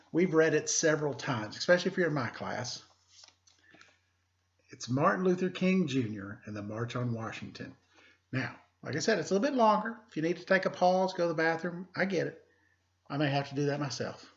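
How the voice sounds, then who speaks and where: A man speaking as an elementary teacher, reading aloud to pupils with deliberate emphasis and informal asides. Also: fairly high pitch, lively intonation, muffled recording. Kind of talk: read-aloud lesson with casual asides.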